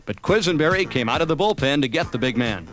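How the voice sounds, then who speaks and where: An American man narrates a sports recap in a fairly high voice with animated pitch swings. The delivery is scripted storytelling, with music playing faintly behind him. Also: fast talker. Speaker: documentary narrator.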